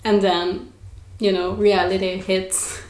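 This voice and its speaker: A woman's voice: mid-range voice, level tone, takes it slowly, darkish tone. Hesitant young woman in an echoey room. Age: 20s. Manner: hesitant, thinking aloud, agreeable. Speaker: podcast co-host. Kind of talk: casual chat.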